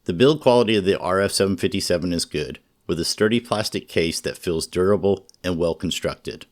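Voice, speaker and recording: A man narrating a scripted product review in a measured, informative way. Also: deep voice. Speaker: radio reviewer.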